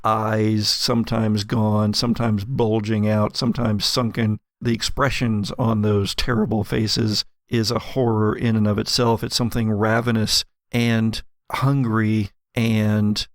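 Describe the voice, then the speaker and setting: A man running a horror tabletop roleplaying game as game master, narrating descriptively with drawn-out emphasis. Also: fairly low pitch, level tone, no room sound, clean studio sound.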